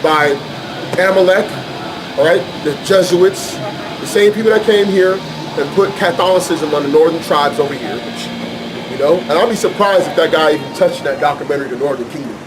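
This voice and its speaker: A man's voice: fairly high pitch, moderate pace, rough recording. An American man preaching and teaching scripture informally, arguing his points in an emphatic, repetitive, colloquial way. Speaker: street preacher and Bible teacher.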